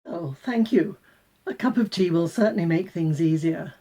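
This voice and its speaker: A woman's voice: fairly low pitch, normal speed, mellow, breathy edge. A woman playing a prim, disapproving, class-conscious older aunt in a dramatized novel reading.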